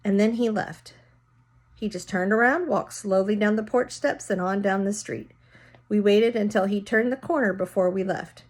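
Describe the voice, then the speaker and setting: A woman's voice: medium pitch, moderate pace, darkish tone. A woman reading a children's story aloud, narrating and casually explaining words as she goes.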